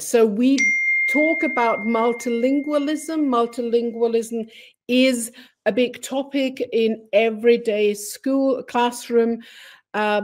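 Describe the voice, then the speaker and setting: A woman's voice: a little high, darkish tone. A middle-aged woman, a teacher educator, presenting a webinar from her computer mic in a friendly, explanatory way with fillers. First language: English.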